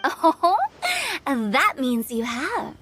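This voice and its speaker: An actress playing a mischievous young funeral director in a video game, with playful, theatrical sales patter. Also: female voice, high-pitched, lively intonation, extremely slow, background noise, heavily acted. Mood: playfully curious. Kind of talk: English video game voice acting.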